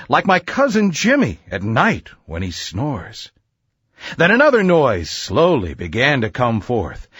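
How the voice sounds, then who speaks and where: Husky, highly animated male storyteller. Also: no echo, clean studio sound. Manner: theatrical, sing-song verse delivery. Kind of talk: rhyming children's story narration.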